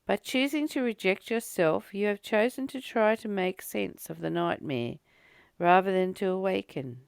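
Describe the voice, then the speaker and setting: A woman hosting a spiritual study, reading a text aloud and commenting on it in deliberate, measured phrases. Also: mid-range voice, creaky, clean tone, no echo. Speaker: host of daily spiritual readings.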